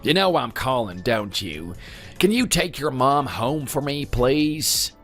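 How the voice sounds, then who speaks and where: A man's voice: mid-range voice, animated, slow pace, a bit bright, faint music behind, heavily acted. Man playing a polite, concerned village neighbour. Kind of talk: dramatic phone dialogue.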